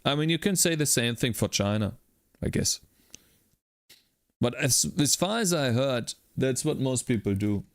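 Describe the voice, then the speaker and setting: A man chats casually while coding on a live stream, thinking aloud and greeting viewers one by one. His voice sits at an ordinary mid pitch. The sound is close and dry, with keyboard typing heard behind him. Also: lively intonation, measured pace, pauses often, dry recording. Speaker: livestreaming game developer.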